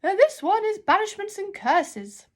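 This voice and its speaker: A woman voicing a loud, haughty and eccentric older woman librarian of magic in a storybook, with a booming, brusque delivery. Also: very animated, unhurried, heavily acted. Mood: grand, instructive. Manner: brusque, haughty, slightly hard of hearing.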